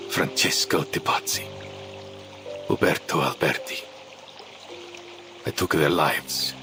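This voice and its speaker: An actor playing a brooding, grief-hardened male assassin in a game, speaking in a solemn, measured way. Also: low voice. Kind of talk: dramatic visual novel dialogue. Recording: game audio with music behind. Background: game music.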